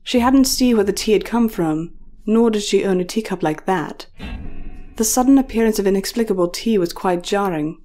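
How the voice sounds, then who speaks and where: Mellow woman narrating a story. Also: mid-range voice, normal speed, faint music behind.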